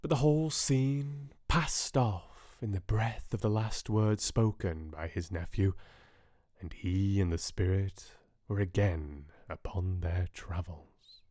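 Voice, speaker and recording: Casting: man for classic audiobook narration, dramatic and expressive, unhurried, in a very dry close recording. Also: deep voice, no room sound. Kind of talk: scripted narration of classic literature.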